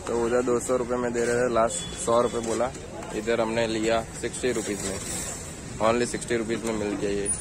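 A man's voice: medium pitch, flat delivery, brisk. A young man from India vlogging casually as he walks around an outdoor market, asking sellers about prices and haggling as he goes. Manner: chatty, haggling with sellers while walking. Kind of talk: casual walking market vlog. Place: busy outdoor street market. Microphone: handheld phone camera. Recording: noisy outdoor street recording. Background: crowd chatter and passing traffic.

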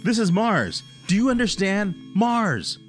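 A man acts out a stern spaceship captain in a radio drama. His voice is fairly high and swings widely in pitch as he speaks with urgent command, with music behind him. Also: sing-song, a little slow. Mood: baffled, insistent.

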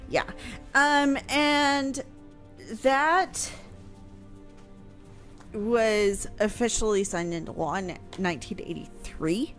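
A woman's voice: a little high, slow, pauses often, a bit bright, soft background music. A middle-aged woman in casual radio chat, explaining things conversationally into a close microphone. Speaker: radio show guest explaining history. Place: small home room, indoors. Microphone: close broadcast mic on boom arm, headphones.

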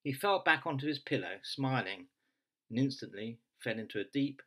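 A man's voice: mid-range voice, animated, normal speed, fairly bright tone. A man in his sixties reading expressively from his own book in a small room, at arm's length from the mic. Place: small bedroom, indoors.

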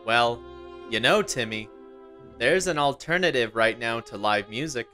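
A man playing a chipper, folksy dad making a sales pitch in a scripted radio ad. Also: animated, a little slow, sharp tone, soft background music. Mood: upbeat, pitching. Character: chipper dad pitching to his young son.

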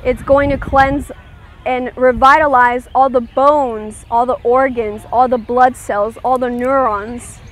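A woman leading a guided meditation, giving gentle, soothing instructions and checking in with her listeners. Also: high-pitched, fairly even intonation, slow pace.

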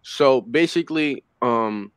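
A man's voice: mid-range voice, takes it slowly, smooth, dry recording. A young man on a headset in a video call, talking football in a loose, rambling way with many fillers. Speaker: panelist. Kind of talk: casual sports chat.

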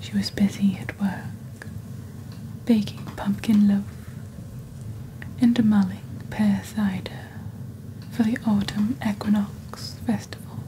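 A woman voicing a witch who presents magical wares in soft-spoken, breathy, deliberate ASMR style, close to the microphone. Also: medium pitch, background noise, close to an everyday voice. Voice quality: breathy, whispery. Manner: slow, deliberate, hushed storytelling. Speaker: ASMR performer as shopping channel presenter. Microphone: close desk microphone.

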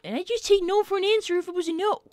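A woman's voice: extremely high pitch, racing, a little mellow, no echo. A woman voicing a young, brainy cartoon fox boy who chats in a sassy, self-assured way as a gaming livestream host. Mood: defensive, assured. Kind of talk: in-character gaming livestream chat. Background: game music.

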